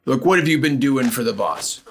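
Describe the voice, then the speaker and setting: A man's voice: mid-range voice, lively intonation, moderate pace, slight background noise, lightly acted. Man doing a bossy cult raider. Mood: patronizing. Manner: condescending, hesitant restarts.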